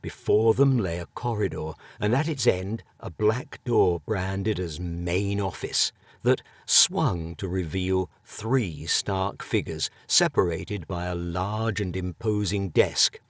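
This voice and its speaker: Low slow male narrator, dry close studio sound, measured storytelling. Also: dry recording. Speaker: audiobook narrator. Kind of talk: scripted narration.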